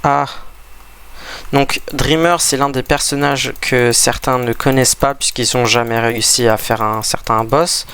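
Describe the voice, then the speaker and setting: A man's voice: medium pitch, fairly even intonation, a little slow, bright, forceful tone, clean tone. A man with a cold and a stuffy voice, giving rambling, hesitant casual commentary over a game he is playing, often restarting his sentences. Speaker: gaming video creator.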